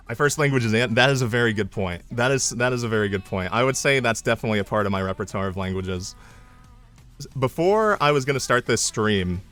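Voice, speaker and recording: A young man chatting casually on his live stream, mocking himself and breaking into loud triumphant yells. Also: mid-range voice.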